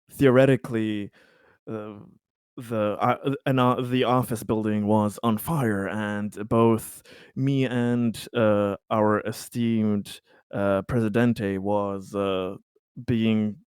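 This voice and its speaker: Dude playing tabletop rpg, talks real slow and halting, lowish voice, very dry audio. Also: male voice, a little mellow, smooth, dry recording. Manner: halting, deliberate, tentative phrasing. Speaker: tabletop game player. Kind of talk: casual in-game roleplay chat.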